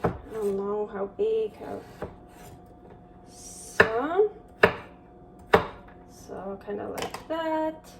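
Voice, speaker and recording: A woman's voice: mid-range voice, lively intonation, extremely slow, gravelly, pauses often, distant mic, heavy background noise. A young woman from Switzerland with a Swiss accent, hosting a casual cooking vlog in her home kitchen, chatting in a rambling way with many fillers and restarts. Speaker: cooking show host.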